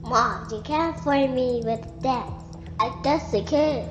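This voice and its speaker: A woman's voice: slow pace, over music. A woman voicing a whiny, stubborn little girl in an amateur roleplay drama, on an echoey, dull-sounding recording. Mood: whining. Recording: echoey, dull low-fi mic.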